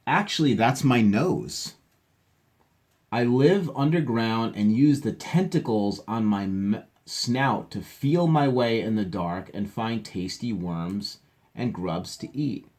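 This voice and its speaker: A man's voice: fairly low pitch, lively intonation, slow, a little mellow. A man voicing storybook animals who explain their odd features in a proud, matter-of-fact, slightly put-upon way. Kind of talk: children's storybook read-aloud.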